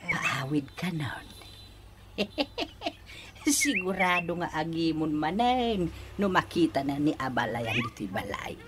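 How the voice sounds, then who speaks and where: An actor playing a boastful old pensioner with a young wife in a comedy radio drama. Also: male voice, on the high side, expressive, slow, background noise. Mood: smug, teasing. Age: older. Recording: radio drama with music underneath.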